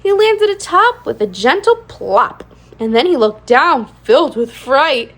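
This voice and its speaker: A woman with a high voice reads a picture book to young children. Her delivery swings widely up and down, with a singsong rhyming cadence and plenty of expressive emphasis on key words. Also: unhurried. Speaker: children's read-aloud channel host. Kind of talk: animated storybook read-aloud for young children.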